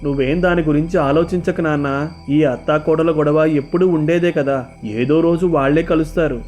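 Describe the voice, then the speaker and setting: A man voicing a calm, reassuring, mildly resigned husband and son in a cartoon story. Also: a little high, normal speed, soft background music, lightly acted.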